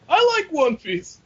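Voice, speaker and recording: A young adult man chatting casually as co-host of a fan podcast over a voice call. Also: very high voice. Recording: dull lo-fi internet call.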